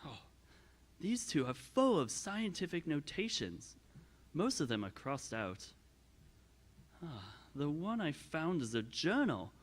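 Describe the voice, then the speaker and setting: An actor playing a curious, bookish camper in radio drama dialogue. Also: male voice, a little high, big pitch swings, slow pace, pauses often, exaggerated voice. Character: curious, bookish male camper taking charge.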